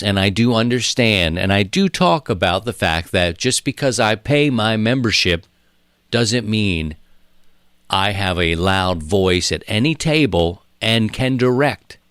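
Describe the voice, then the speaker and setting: A man in his thirties talking through his podcast in a loose, rambling way, circling back and repeating his points. His voice sits on the low side and comes across dry and close, with no room around it. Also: fairly bright tone, clean tone, no echo. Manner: rambling, conversational, repeats phrases for emphasis. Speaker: podcast host. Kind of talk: casual solo podcast talk.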